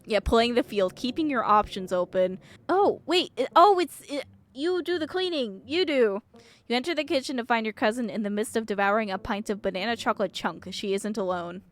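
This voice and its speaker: A woman's voice: fairly high pitch, a little fast. A woman streaming a game with playful, giggly commentary, reading the on-screen text aloud. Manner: giggly, excitable. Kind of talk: playful gaming commentary with read-aloud dialogue.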